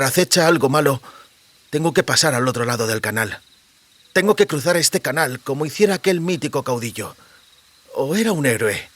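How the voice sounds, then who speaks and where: Man as a earnest young man, slightly raised pitch. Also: fairly bright tone. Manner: earnest inner monologue. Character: uneasy young man thinking resolutely to himself.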